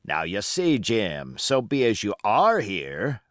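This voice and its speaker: A man's voice: on the low side, wildly swooping pitch, slow pace, dry recording, clean studio sound, full character voice. A man voicing a wily old pirate captain, genial yet menacing, in folksy sailor talk for an audiobook. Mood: sly, cajoling. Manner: folksy sailor dialect, cajoling, sly. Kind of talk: audiobook character acting.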